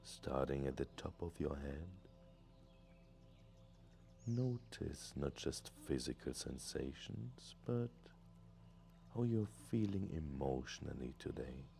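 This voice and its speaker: A man gently leading a guided meditation in a soothing, deliberate way with long pauses. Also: a bass voice, very animated, vocal fry. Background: music.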